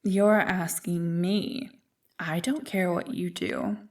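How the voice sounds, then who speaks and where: A woman voicing an ancient, evil primordial being in an ASMR roleplay, smug and tempting, with a deliberate, taunting delivery. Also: fairly low pitch, fairly even intonation, recorded from a distance. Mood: indifferent, smug. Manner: slow, deliberate, taunting, coolly amused.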